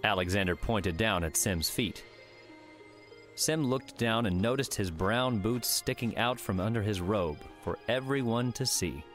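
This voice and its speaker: Man, deep voice, audiobook narration, measured storytelling, scripted fiction, soft music behind.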